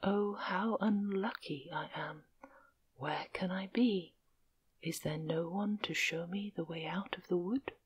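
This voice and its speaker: A woman voicing a humble young prince raised by peasants, in a soft whisper. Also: fairly low pitch, measured pace, slightly rough, lo-fi sound. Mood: lost.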